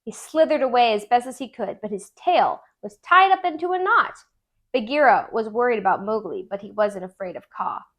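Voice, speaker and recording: A woman narrating a children's storybook aloud in an expressive, deliberate storytelling manner. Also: on the high side. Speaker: tutor reading aloud.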